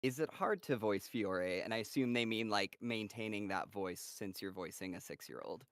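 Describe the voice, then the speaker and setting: A man's voice: fairly low pitch, normal speed, a bit bright, dry recording. A man hosting a casual livestream Q&A who laughs often and chats encouragingly. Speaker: host of the cast Q&A.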